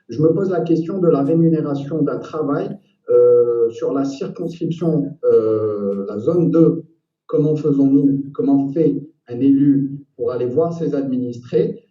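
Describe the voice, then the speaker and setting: A man from Algeria speaking as an election candidate in a debate, measured and searching for words, on muffled, distant, echoey audio. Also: medium pitch, warm tone. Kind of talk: political debate, spontaneous argument.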